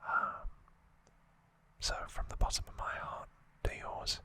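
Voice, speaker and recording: This is an ASMR host who whispers from start to finish. The delivery is gentle and halting, at a slow pace. The whisper has a bright, sharp edge. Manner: hushed, unvoiced whispering throughout. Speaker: host of an anime trivia channel.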